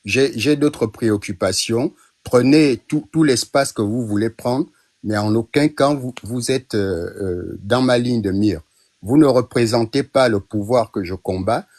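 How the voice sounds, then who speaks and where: A man taking part in a heated live online debate, stammering through sharp, sarcastic replies. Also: medium pitch, a little slow, no echo, studio quality. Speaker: panel participant.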